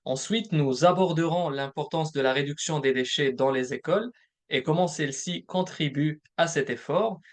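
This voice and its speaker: Man presenting a webinar, fairly high voice. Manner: measured, explanatory, lists points in order. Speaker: bilingual program manager presenting a webinar. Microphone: recorded presentation voice-over.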